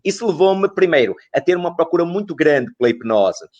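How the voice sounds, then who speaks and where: A man's voice: on the high side, very animated, brisk, a little mellow, lo-fi sound. A middle-aged man, explaining as an expert guest in an interview, in a didactic way with rhetorical questions and repeated phrases. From northern Portugal.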